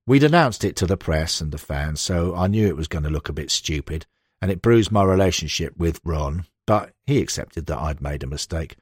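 A man's voice: sits low, lively intonation, moderate pace, slightly rough, no echo, clean studio sound, pitched below the performer's own voice. A man reading the interview quotes of a frank football manager, with blunt, emphatic, conversational storytelling in an audiobook. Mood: indignant, candid. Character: frank, animated male football manager reminiscing. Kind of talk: acted interview quotations in an audiobook.

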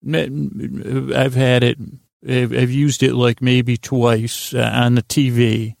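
A man hosting a sleep podcast in lulling, soothing tones, meandering and halting through a gently self-deprecating monologue. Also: mid-range voice, level tone, creaky, no room sound, studio quality. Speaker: podcast host.

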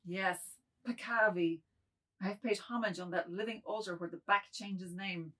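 Woman as a wordy, guilt-ridden man, husky voice. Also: medium pitch, fairly even intonation, normal speed, bright, forceful tone, poor audio. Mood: abject, confessing. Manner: wordy, theatrical. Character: self-abasing middle-aged man, guilty, wordy, then defiant. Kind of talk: dramatized reading of a modernist novel.

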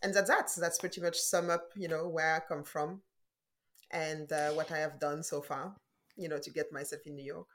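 A woman in her forties speaks with a French accent in a notably low register. She talks as an interview guest, thoughtful and unhurried, leaning on fillers and restarting her sentences. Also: flat delivery, a bit bright. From France. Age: 40s. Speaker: guest entrepreneur. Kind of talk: casual interview conversation.

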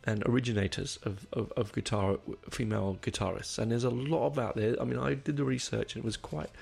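A man hosting a music podcast in a casual, rambling way, his voice croaky from a cold. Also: medium pitch, steady tone, fairly quick, a little mellow. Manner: rambling, many ums and restarts. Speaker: podcast host.

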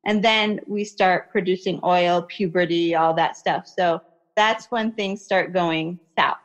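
A woman's voice: on the low side, fairly even intonation, measured pace. Woman explaining skincare, "you know" often. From Iraqi family, raised in America. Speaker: skincare expert guest. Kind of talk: conversational interview, explaining.

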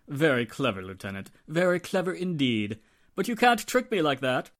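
An actor playing a nervous, prissy, evasive murder suspect in a 1940s-style radio drama, flustered and theatrically precise. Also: male voice, fairly high pitch, sing-song, unhurried. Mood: smug.

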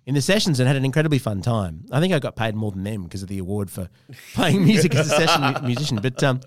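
Male co-host, animated melody, casual and jokey, close dry sound. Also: mid-range voice, fast, dry recording. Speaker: podcast host. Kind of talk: casual chat.

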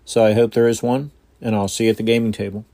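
A man's voice: lowish voice, level tone, fast talker, dark tone, no room sound. A man calling in to a podcast, leaving a casual voice message. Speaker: podcast caller.

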